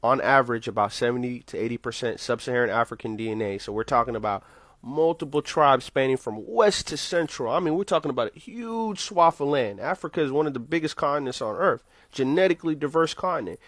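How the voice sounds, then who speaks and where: A man's voice: medium pitch, expressive, fairly quick, a bit bright, no room sound. A man explaining his view in a confident, casual monologue.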